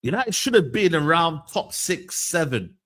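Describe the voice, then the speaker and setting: A man's voice: a little high, very animated, measured pace, a bit bright. A man, arguing about football in a casual chat, rambling and repeating himself as he stumbles over his words. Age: 30s. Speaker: podcast host. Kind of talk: heated casual football debate.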